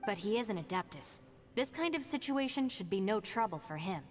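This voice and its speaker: An actress playing a calm, cautious, considerate woman in a video game, speaking carefully and earnestly. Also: medium pitch, fairly quick, faint music behind, lightly acted. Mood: thoughtful. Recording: long reverb, background ambient noise.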